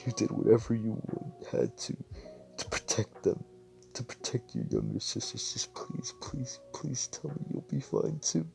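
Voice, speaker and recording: A man's voice: fairly low pitch, moderate pace, a little mellow, faint music behind, close to an everyday voice. A man voicing a desperate, grieving teenage boy who pleads and repeats himself, close to tears. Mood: sobbing, begging.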